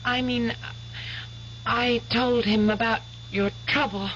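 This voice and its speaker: An American actress playing a devoted, earnest young niece in a radio drama. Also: female voice, a little high, steady tone, slow pace, fairly bright tone. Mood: earnest, worried. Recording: dull, noisy old radio recording, hum.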